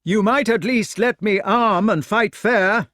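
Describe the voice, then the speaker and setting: A man's voice: high-pitched, measured pace, fairly bright tone, dry recording, clean studio sound, pitched above the performer's own voice. A man voicing an earnest, courteous knight in a storybook, formal and indignant as he protests. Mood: indignant, protesting.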